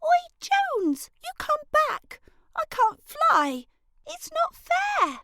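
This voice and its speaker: A woman doing a shouty plucky little girl. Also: wildly swooping pitch, very slow, no echo, studio quality, heavily acted. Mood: upset. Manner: shouty, high-pitched.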